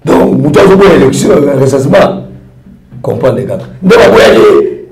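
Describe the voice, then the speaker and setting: A man's voice: mid-range voice, big pitch swings, normal speed, a little mellow, roomy sound, rough recording. A man from Congo, a party leader, answering interview questions in a rambling, repetitive way. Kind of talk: interview answers mixing French and Lingala.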